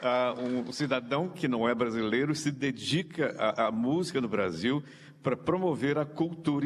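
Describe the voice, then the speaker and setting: A man with a Brazilian accent works as a live interviewer, speaking in an effusive, ceremonious way. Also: medium pitch. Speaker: interviewer, presenter. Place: large reverberant hall. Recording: echoey hall with background noise.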